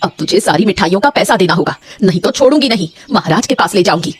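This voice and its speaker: A woman voicing a brash sweet-shop woman in an animated Hindi moral story, with an exaggerated, expressive delivery. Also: mid-range voice, quick pace, slightly rough. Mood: outraged. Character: brash, gloating sweet-shop women and puzzled village wife.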